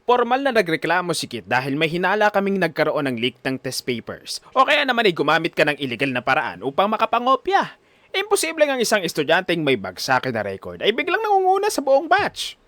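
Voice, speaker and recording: A man's voice: high-pitched, huge pitch swings, a little fast, fairly bright tone, no room sound, full character voice. A man voicing a stern, suspicious male school principal in an audio drama, formal and admonishing.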